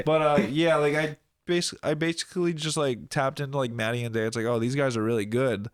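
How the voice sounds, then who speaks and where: A man's voice: medium pitch, fairly even intonation, fairly quick, no room sound, clean studio sound. Rambling young man with a stuffy nose. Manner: rambling, heavy use of "like", swearing. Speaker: podcast guest, rapper. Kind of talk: casual chat.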